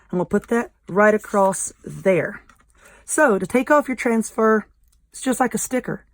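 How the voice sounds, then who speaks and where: A woman with a Southern American accent and a slightly hoarse, croaky, squeaky voice, hosting a casual craft tutorial in a folksy, informal way. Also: dry recording. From southern United States. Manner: folksy, informal, self-deprecating. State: voice a little hoarse. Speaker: craft video host.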